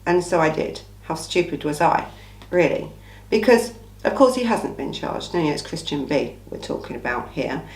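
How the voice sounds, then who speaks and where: A woman from England hosting a casual true crime Q&A, her voice croaky from a cold, talking in a blunt, chatty, opinionated way. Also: deep voice, big pitch swings, moderate pace, darkish tone, echoey room, distant mic, poor audio. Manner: chatty, blunt, opinionated, says "listen" often. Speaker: true crime channel host. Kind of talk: casual Q&A monologue.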